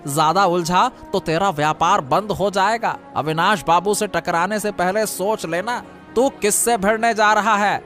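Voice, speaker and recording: A man's voice: high-pitched, normal speed, fairly bright tone, soft background music. A man voicing a boastful, scheming village fish seller in a cartoon story, with a taunting manner. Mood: warning. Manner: mocking, taunting.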